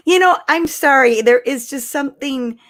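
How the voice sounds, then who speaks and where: Excitable, higher-pitched woman chatting. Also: normal speed. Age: middle-aged. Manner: animated, giggly, excitable. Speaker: podcast co-host. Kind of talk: casual fan chat on a live stream.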